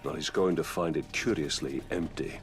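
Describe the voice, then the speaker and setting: A man's voice: rumbling low, normal speed. A man playing a grim, determined human ranger in a video game, dry and terse, with music behind him. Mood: dry, alert. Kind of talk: video game cutscene acting.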